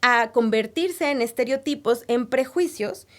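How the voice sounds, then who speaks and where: A woman's voice: on the high side, measured pace. A woman researcher answering interview questions in a thoughtful, explanatory way, often checking in with tag questions. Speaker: guest researcher.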